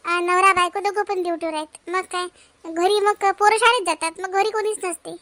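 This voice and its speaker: A woman's voice: extremely high pitch, steady tone, moderate pace, pushed and bright. A woman voicing a polite, anxious young married daughter-in-law in a cartoon family comedy.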